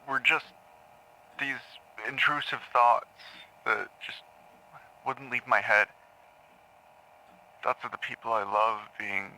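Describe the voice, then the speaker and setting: A man playing a troubled patient in an audio drama, haltingly confessing dark memories to a therapist, stammering and repeating words. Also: mid-range voice, heavily acted, low-quality recording. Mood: tormented, grim. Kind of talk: audio drama acting, intimate confessional monologue.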